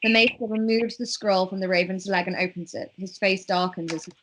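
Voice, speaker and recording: Woman narrating a script, mid-pitched. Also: fairly even intonation, fairly quick, fairly bright tone, slight background noise, rough recording. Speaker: narrator of a script table read. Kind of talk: reading stage directions aloud.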